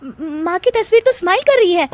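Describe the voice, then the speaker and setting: Woman playing a high-pitched cheeky young daughter-in-law. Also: animated, a little fast, a little mellow. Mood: startled. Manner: sassy, outspoken.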